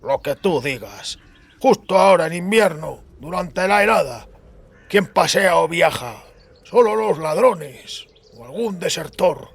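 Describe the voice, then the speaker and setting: A man's voice: a little high, animated, unhurried, creaky, breathy edge, voice pushed up, heavily acted. A man voicing a wary, frightened peasant fisherman with a rustic way of clipping his words. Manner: rustic dialect with clipped word endings.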